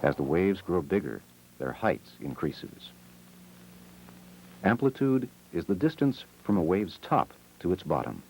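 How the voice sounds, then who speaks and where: A man narrating an educational film in a measured, explanatory manner, reading scripted short, simple sentences. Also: low voice, very animated, a little mellow, a little hiss or hum, rough recording. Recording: old VHS educational film soundtrack. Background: music.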